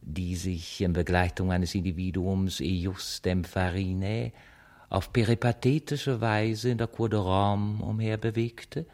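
An actor playing a pompous, grandiose narrator in a literary radio piece, declaiming in florid phrases. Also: male voice, low-pitched, fairly even intonation, measured pace, a little mellow.

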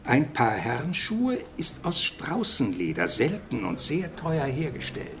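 An actor playing a dry, precise, grumbling police deputy in a German radio crime drama. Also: male voice, medium pitch, huge pitch swings, a little slow, fairly bright tone, faint music behind, exaggerated voice. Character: erudite, grumbling, wine-drinking police deputy.

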